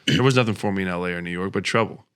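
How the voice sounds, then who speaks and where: A man in his twenties from Florida hosting a podcast, relaxed and curious, asking short questions. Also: low voice, racing, clean tone. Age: 20s. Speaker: podcast host. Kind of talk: casual podcast interview.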